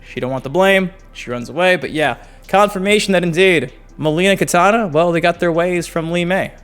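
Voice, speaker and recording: Male gaming video host, slightly high voice, informal and slangy, an enthusiastic fan delivering casual news commentary. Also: a little fast, a bit bright, clear, resonant tone, faint music behind.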